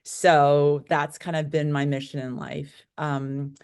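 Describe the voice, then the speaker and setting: A woman in her late forties with an American accent and a low-pitched voice. She speaks as an interview guest, telling personal stories in a candid, talkative, conversational way. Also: flat delivery, slow pace, darkish tone, clear, resonant tone. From United States, born in Korea. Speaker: interview guest, real estate broker.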